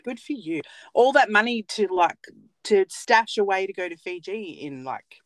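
A woman's voice: mid-range voice, animated, normal speed, dry recording. A woman in her late thirties co-hosting a casual podcast from a small cupboard at home, joking and laughing at herself. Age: 30s. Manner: joking, self-mocking, laughs often. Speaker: podcast co-host, nail technician. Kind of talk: casual chat.

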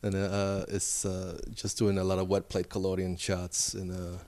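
A man from Mexico City, a photographer guest, answering in a relaxed, short way. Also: low voice, moderate pace. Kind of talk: casual interview.

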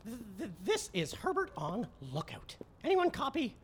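A woman's voice: a little high, huge pitch swings, moderate pace, noisy background. A woman voicing an eager, nervous young male raccoon rookie who stammers through radio calls. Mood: dramatic. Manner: stammering, dramatic radio chatter.

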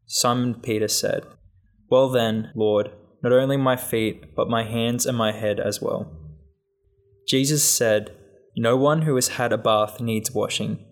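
A man reading a Gospel passage aloud in a measured, solemn way. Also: mid-range voice, steady tone. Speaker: scripture reader.

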